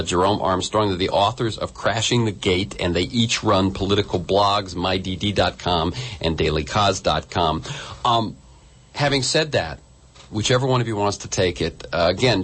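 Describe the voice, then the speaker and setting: A man's voice: lowish voice, fairly bright tone. A man hosting an interview, asking long, rambling questions with many fillers. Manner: chatty, searching for words, many fillers. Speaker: radio interview host.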